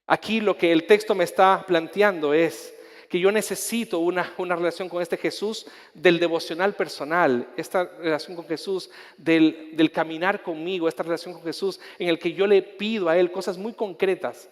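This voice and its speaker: A male pastor with a Chilean accent, his voice sitting rather high, preaching with fervor and restarting phrases. The sound rings with a long reverb, as in a large church hall. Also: steady tone, normal speed. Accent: Chilean Spanish. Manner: impassioned preaching with repetitions and restarts; soft, intimate prayer. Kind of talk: sermon ending in prayer.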